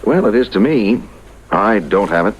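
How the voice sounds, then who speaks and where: An actor playing a reluctant, hesitant suitor with a husky voice in a 1970s radio drama. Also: male voice, on the low side, animated, moderate pace, slight background noise, natural voice, rough recording. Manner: hesitant, demurring. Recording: vintage radio broadcast.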